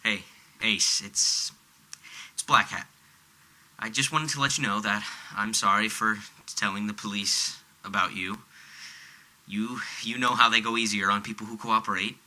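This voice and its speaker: A man playing a smarmy, uneasy supervillain leaving a halting, hedging voicemail in an audio drama. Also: on the low side, fairly even intonation, sharp tone, low-quality recording. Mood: sheepish, insincere.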